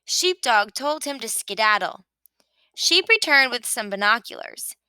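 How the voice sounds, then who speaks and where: A woman reading a children's picture book aloud with expressive narration. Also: fairly high pitch, a little slow, clear, resonant tone, dry recording. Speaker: storybook reader.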